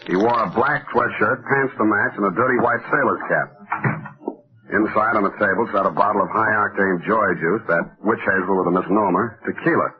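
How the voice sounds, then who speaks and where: A man's voice: on the low side, steady tone, fairly quick, natural voice. Guy playing cocky american gumshoe, old radio show, muffled sound, glib wisecracks, wordy noir narration. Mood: wry narrating. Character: wisecracking hard-boiled male private detective, cocky and flirtatious. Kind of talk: old-time radio detective acting, dictation and narration. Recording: dull lo-fi old radio recording.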